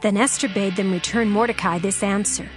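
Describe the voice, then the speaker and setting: A woman narrating scripture in a measured, formal reading. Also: medium pitch, steady tone, soft background music.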